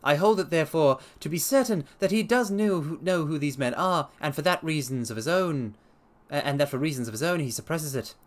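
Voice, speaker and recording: A man voicing a self-assured gentleman detective in a storybook reading, brisk, precise and emphatic as he explains himself. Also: fairly high pitch, lively intonation, close to an everyday voice. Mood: analytical, confident.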